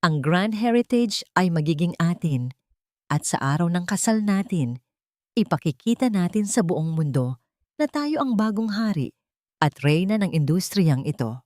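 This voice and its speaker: Lady narrator doing arrogant businessman villain, low pitched, sneering, haughty, audiobook style. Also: sing-song, a little slow, darkish tone, no room sound, clean studio sound. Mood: contemptuous. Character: arrogant, impatient businessman villain with mocking contempt.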